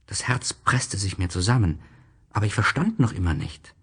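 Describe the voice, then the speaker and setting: Low-voiced man narrating a literary tale. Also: lively intonation, normal speed, a bit bright, husky.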